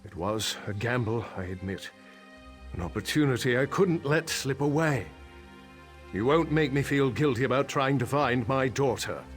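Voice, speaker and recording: An actor playing a commanding middle-aged nobleman and worried father in a video game, authoritative and measured. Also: medium pitch, animated, faint music behind, natural voice. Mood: insistent.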